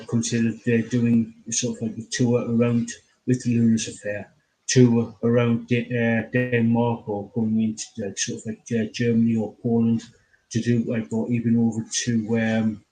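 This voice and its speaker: A man, interviewing casually as host in a halting, repetitive way. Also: medium pitch, warm tone, rough recording. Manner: halting, repeats phrases, filler-heavy.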